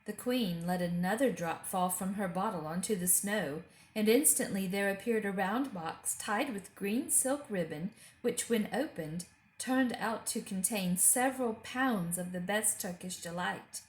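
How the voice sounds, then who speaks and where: A woman reading a children's book aloud as an expressive storyteller. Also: mid-range voice, a little slow. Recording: roomy echo.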